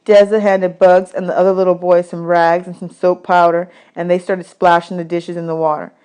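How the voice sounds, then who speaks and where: A woman reading a children's novel aloud as expressive audiobook narration. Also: lowish voice, normal speed, clear, resonant tone. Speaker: audiobook reader.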